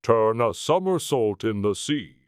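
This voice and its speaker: Man as a slow, mournful turtle creature. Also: mid-range voice, very animated, clean tone, dry recording, clean studio sound. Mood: earnest. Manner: slow, plaintive. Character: mournful, sentimental old mock turtle creature. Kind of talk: dramatized audiobook character.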